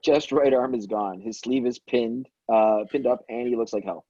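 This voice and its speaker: Casting: male stage-directions narrator, relaxed dark tone, dry and slightly lo-fi. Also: mid-range voice, moderate pace, slightly rough, dry recording. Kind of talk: scripted narration at a table read.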